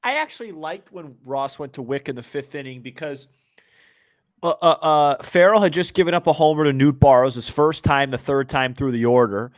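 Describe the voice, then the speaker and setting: Higher-pitched man, emphatic sports podcast co-host. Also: normal speed, no room sound, studio quality. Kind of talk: casual sports commentary with banter.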